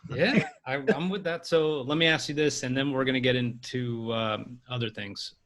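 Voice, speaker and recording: Male podcast host, bright tense tone, easygoing and chatty. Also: medium pitch, steady tone, moderate pace. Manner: laid-back, rambling questions, laughs easily. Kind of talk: casual interview.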